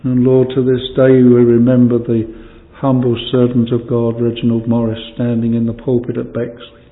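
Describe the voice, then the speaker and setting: A man leading a solemn extempore prayer in old-fashioned language, pleading, his voice falling away quietly at the ends of phrases. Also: medium pitch, completely flat delivery, normal speed. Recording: distant hall reverb, phone-like band.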